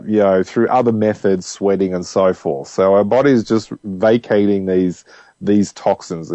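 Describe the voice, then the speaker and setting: A man's voice: sits low, warm tone, no echo, dull audio. A man speaking conversationally as an interview guest, explaining health ideas and telling personal anecdotes, emphatic when quoting others.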